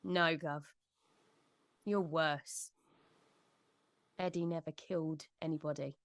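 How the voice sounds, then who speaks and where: A low-voiced British policewoman. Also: steady tone, slow. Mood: grave, reproachful. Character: grave, shaken junior police officer confronting her boss. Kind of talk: audio drama acting.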